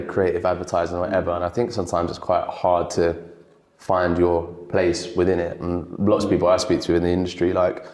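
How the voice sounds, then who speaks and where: Deep-voiced man, casual and roomy. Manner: relaxed, swears casually, laughs often. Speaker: guest, creative director. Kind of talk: casual interview chat. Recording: echoey room.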